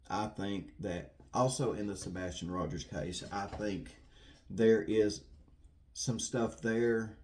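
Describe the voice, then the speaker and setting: A man's voice: lowish voice, a little mellow. A man giving casual, rambling commentary to viewers in an earnest, folksy way, repeating himself for emphasis. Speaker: true crime video host.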